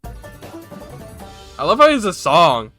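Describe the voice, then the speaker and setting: A man with a slightly high voice reacts casually as a video host. His remarks are slangy and informal, with faint music behind him. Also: huge pitch swings, very slow, pushed and bright. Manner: informal, slangy, brief interjections.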